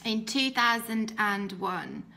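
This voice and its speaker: A woman's voice: on the high side, lively intonation, slow, creaky, pushed and bright. A British woman in her thirties giving a rambling, earnest personal testimony, stopping now and then to laugh. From Britain. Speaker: testimony speaker.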